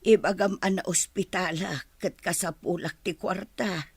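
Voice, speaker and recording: A woman's voice: lowish voice, fairly even intonation, a little slow, breathy edge, dry recording, exaggerated voice. A woman acting a nagging, fed-up village mother with an Ilocano accent in a radio comedy drama. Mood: coaxing, scheming.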